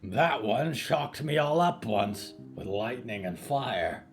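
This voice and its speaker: Man playing a slow, lilting, childlike friendly giant. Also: mid-range voice, animated, faint music behind. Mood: earnest storytelling. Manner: simple childlike phrasing, earnest, unhurried. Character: huge friendly simple-minded male giant, gentle and childlike.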